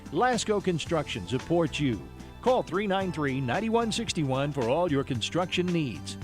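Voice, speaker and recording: A man's voice: on the high side, sing-song, measured pace. A man reading a scripted local sponsor spot as a commercial announcer. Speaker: radio ad announcer. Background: faint guitar music.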